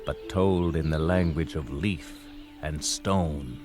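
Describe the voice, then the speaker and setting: An actor playing a solemn, brooding storyteller, narrating a radio drama with poetic, deliberate delivery. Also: male voice, extremely low pitch. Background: faint music.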